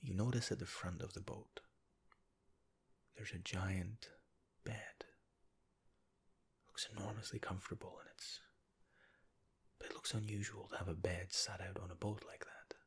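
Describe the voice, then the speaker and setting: A man with a very low, deep voice whispers a calming guided relaxation. His delivery is slow and unhurried, with a husky, breathy texture throughout. He speaks in soft, soothing second person. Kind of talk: ASMR guided relaxation narration.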